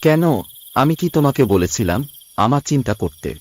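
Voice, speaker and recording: A man's voice: mid-range voice, expressive, moderate pace, a little mellow, close to an everyday voice. A man voicing a harsh father in a cartoon who scornfully rejects his daughter.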